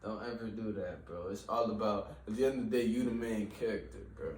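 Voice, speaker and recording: A man's voice: fairly low pitch, fairly even intonation, brisk. Slangy young man, echoey far mic. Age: 20s. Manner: slangy, says bro constantly. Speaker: podcast host. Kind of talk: casual chat. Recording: echoey room from far off with faint hiss.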